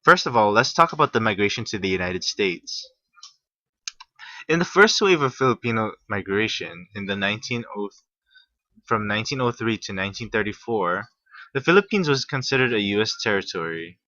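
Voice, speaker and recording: A young man from Hawaii reading a class presentation, halting at times with restarts. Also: on the low side, lively intonation, bright, forceful tone, no room sound. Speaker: student presenter. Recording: muffled, slightly lo-fi.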